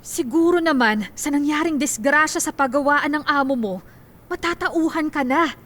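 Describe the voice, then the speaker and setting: A woman's voice: high-pitched, normal speed, fairly bright tone. A woman acting in a Filipino radio drama as a worried wife, earnest and admonishing. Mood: concerned, reproving. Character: worried, reproving wife warning her stubborn husband.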